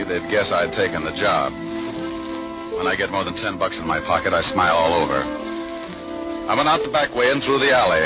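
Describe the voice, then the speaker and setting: An actor playing a tough, weary private detective in a vintage radio noir drama, with dry, sardonic, clipped wisecracks. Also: male voice, fairly low pitch, lightly acted. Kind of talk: 1940s-50s radio noir acting and first-person narration. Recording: muffled vintage radio recording, music behind.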